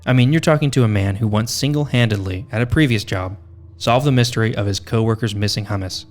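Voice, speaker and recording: A man's voice: lowish voice, quick pace, faint music behind. A man hosting a podcast, reading a scripted narration with wry, tongue-in-cheek asides. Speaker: podcast host.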